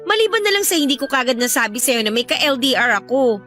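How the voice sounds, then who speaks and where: An actress playing an evasive young lover seeking forgiveness, speaking with a Filipino accent in a coaxing, apologetic way in a radio drama. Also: female voice, sits high, sing-song, fast, sharp tone, soft background music, full character voice. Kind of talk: radio drama acting in Filipino love story.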